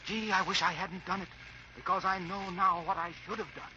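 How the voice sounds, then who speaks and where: Earnest tale-spinning salesman, fairly high male voice, polished vintage radio delivery, muffled noisy sound. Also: fairly even intonation, brisk, bright, forceful tone. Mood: mock dramatic. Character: eager, salesmanlike party guest spinning a tale. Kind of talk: 1940s radio storytelling and sponsor pitch.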